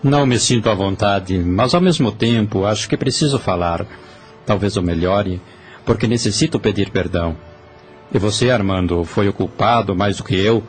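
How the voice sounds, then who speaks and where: A man's voice: on the low side, normal speed, faint music behind, lightly acted. An actor voicing a weary, remorseful male spirit who confesses his wrongs earnestly, with a Brazilian accent. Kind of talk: Portuguese-language audio drama acting.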